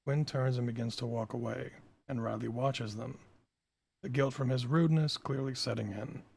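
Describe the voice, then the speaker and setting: A man with a gravelly, husky voice reading stage directions as scripted narration at a table read. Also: mid-range voice, fairly even intonation, normal speed, darkish tone.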